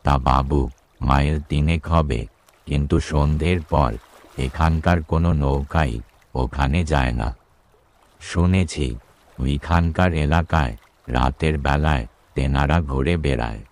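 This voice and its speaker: A man's voice: extremely low pitch, measured pace, a little mellow. A man voicing an earnest, superstitious village boatman in a Bengali ghost-story cartoon, with a deferential storytelling manner and a Bengali accent. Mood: earnest warning.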